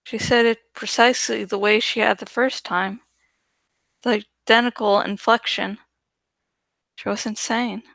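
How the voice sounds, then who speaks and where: A woman reading a novel aloud as dramatic first-person narration, with deliberate, suspenseful phrasing. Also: a little high, steady tone, gravelly, fairly bright tone, no echo. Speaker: audiobook reader.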